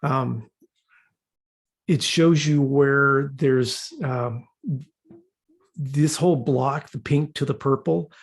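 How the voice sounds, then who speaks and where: A man's voice: medium pitch, steady tone, takes it slowly, long pauses, slightly rough. A man giving an informal, unscripted explanation to a group, hesitant and often repeating words as he searches for phrasing. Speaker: class participant presenting an example.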